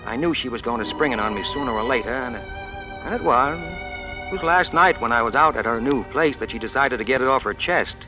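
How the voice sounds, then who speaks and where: A male actor plays a self-assured American crime boss in an old radio drama. His talk is wry and full of tough slang, with a lively, swinging pitch. The sound is dull and aged, with music in the production. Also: a little fast, lightly acted. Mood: wry narration. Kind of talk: 1940s radio crime drama acting, first-person narration and dialogue.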